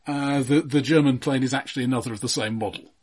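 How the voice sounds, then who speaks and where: A man's voice: medium pitch, fairly even intonation, fast, a little mellow. A man co-hosting a film podcast, chatting casually in a hesitant, pedantic, detail-minded way. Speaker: film discussion podcast co-host.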